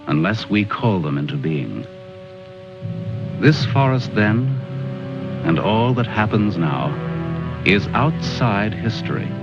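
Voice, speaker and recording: An actor narrating an old war film as a solemn, philosophical storyteller with a grave, measured delivery. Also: male voice, sits low, heavily acted. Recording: dull old film soundtrack under loud music.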